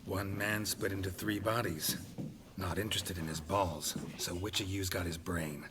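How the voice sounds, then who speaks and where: A man playing a terse, sardonic monster hunter for hire in a fantasy video game, with a dry, deadpan delivery. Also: sits low, normal speed, lightly acted. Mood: dry, challenging. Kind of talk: video game cutscene acting.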